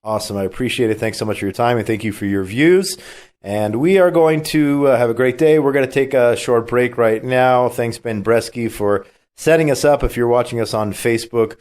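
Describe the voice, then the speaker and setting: A man's voice: mid-range voice, a little fast, darkish tone. A man with a cold hosting a talk radio show, chatting casually and reading the news with plenty of fillers and restarts. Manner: conversational, opinionated, with many fillers and restarts. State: has a cold.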